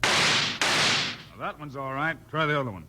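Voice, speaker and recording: A man playing a steady, commanding frontier lawman hero with decisive Western phrasing in a 1950s radio western. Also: a little high, normal speed, close to an everyday voice. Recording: noisy old 1950s radio recording.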